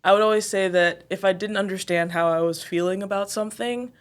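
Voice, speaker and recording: A young woman from Zambia talks casually as an interview guest, with a mid-pitched voice. She muses out loud, fills pauses with "um" and "like", and laughs easily. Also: steady tone. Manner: thoughtful, many fillers and "like", laughs often. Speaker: singer and guest.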